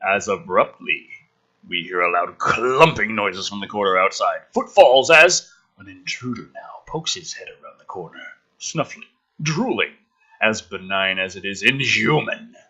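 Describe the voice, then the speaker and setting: A man hosting a theatrical script reading, with dramatic emphasis and sarcastic, exasperated commentary in a rough-edged voice. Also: lowish voice, lively intonation, unhurried, sharp tone, lo-fi sound. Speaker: host reading and mocking a film script.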